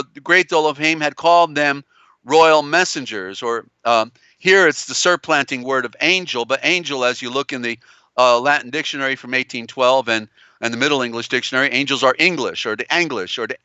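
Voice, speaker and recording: A man hosting a live-stream lecture, with a strained, tiring voice and a halting, meandering delivery. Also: mid-range voice, bright, forceful tone, clear, resonant tone, no echo, muffled recording. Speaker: live show host.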